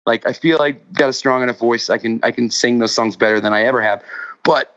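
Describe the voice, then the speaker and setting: Male musician guest, casual interview talk, halting and rambling, slightly dull lo-fi sound. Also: mid-range voice, fairly bright tone. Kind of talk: casual remote interview chat.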